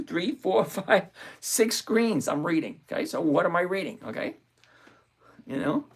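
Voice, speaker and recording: A man hosting a live stream, talking through his options trades out loud and answering viewers by name in a chatty way from a home desk full of screens. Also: high voice, vocal fry, slightly rough. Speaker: livestream host and options trader. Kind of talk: live-stream trading commentary, reading chat aloud.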